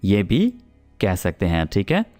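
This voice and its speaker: A Pakistani man gives a spoken English lesson, taking his time over each example sentence. His pitch rises and falls a lot as he checks his students are following, and faint music plays behind him. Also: wildly swooping pitch, darkish tone. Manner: explains patiently, repeats example sentences slowly and checks in with "theek hai?". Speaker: English teacher.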